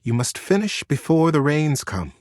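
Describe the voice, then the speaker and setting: A man's voice: fairly high pitch, very animated, moderate pace, darkish tone, no room sound, studio quality, pitched above the performer's own voice. A man voicing an elderly female beaver elder, commanding yet affectionate, in a storybook. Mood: urging, proud. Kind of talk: storybook character voice.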